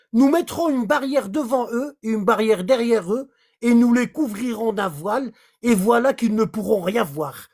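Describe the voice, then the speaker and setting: A livestreamer in casual commentary, explaining scripture in an animated way and often checking in with short questions to the listener. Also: mid-range voice. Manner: animated, acts out little dialogues, keeps checking in with "hein?" and "d'accord?". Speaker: livestreamer explaining Quran verses.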